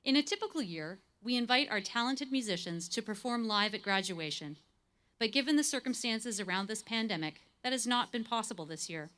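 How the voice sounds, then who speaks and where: A woman with a slightly high-pitched voice acts as host of a ceremony. She reads prepared announcements in a formal, scripted style. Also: lively intonation, a little fast, fairly bright tone.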